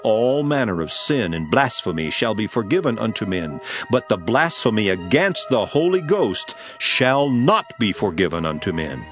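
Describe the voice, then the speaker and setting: A man performs a grave male teacher in a scripture drama. His low voice moves animatedly through emphatic, measured preaching, with clear music behind it. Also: fairly bright tone. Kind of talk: dramatized scripture reading, solemn oratory.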